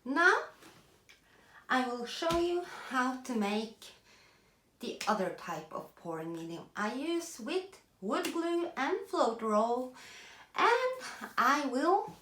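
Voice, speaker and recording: A woman with a Norwegian accent explaining a craft project in casual chat, chatty and self-deprecating, pausing to search for English words. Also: sits high, sing-song, fairly bright tone, reverberant, slight background noise. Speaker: craft video host. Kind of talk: casual tutorial talk while working.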